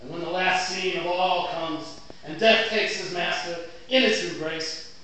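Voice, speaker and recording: An actor playing an impassioned lawyer pleading to a jury in grandiloquent, declamatory stage oratory. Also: male voice, sits high, fairly even intonation, a little slow, bright, forceful tone. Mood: solemn. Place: indoor theatre stage. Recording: echoey theatre, distant mic, faint noise.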